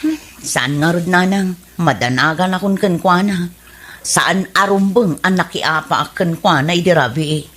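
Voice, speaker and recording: A woman's voice: on the low side, unhurried, a bit bright. A woman acting as a worried, contrite young wife with an Ilocano accent, speaking plaintively in a radio comedy drama. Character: married daughter Dominga, worried and contrite wife.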